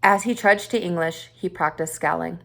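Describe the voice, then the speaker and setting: A woman reading a short story aloud like a teacher narrating for students, with expressive emphasis on key words. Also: lowish voice, moderate pace, creaky.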